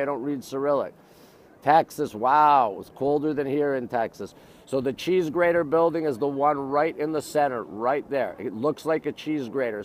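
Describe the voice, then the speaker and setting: A middle-aged man from Montreal with a North American accent, chatting casually as a live-stream host in short, repetitive, exclamatory bursts. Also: mid-range voice. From Montreal, Canada. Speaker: live-stream host showing city sights. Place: outdoors on an urban riverside.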